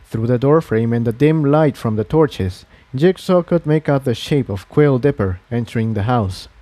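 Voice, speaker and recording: A man narrates a scripted adventure story. His voice has an average male pitch. The recording is dry, with no room sound around it. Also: normal speed, warm tone, no echo. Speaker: fan fiction narrator.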